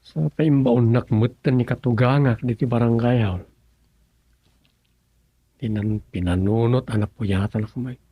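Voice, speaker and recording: A man's voice: mid-range voice, fairly even intonation, moderate pace, pauses often, slightly rough, no echo, highs cut off, lightly acted. A man with an Ilocano Filipino accent acting as an evasive, scheming village husband in a comic radio serial. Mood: sly muttering.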